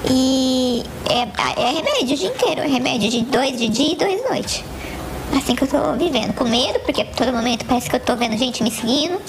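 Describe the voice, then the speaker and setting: A Brazilian woman gives a halting personal account in an interview. Her voice is high and creaky, recorded with clear background noise.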